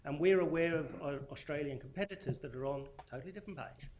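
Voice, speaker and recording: A man's voice: fairly high pitch, fast talker. A man from South Africa makes his case on a panel, emphatic and full of restarts. The recording is muffled and lo-fi, with a faint hiss underneath. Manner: emphatic, restarts, hesitant fillers. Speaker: panel speaker, corporate reporting. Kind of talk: panel discussion.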